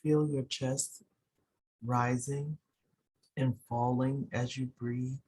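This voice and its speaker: A person leading a guided meditation in a soothing, unhurried way, pausing between short phrases. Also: medium pitch, level tone, a little mellow, breathy edge, low-quality recording. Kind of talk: guided meditation, slow instructions.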